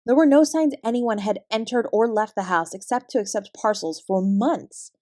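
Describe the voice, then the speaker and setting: A woman with a Canadian accent playing a guarded, weary fraud investigator, giving a clipped, measured account in an audio drama. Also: a little high, darkish tone, no echo, studio quality, natural voice. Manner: measured, clipped report-like delivery. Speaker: investigator being interviewed.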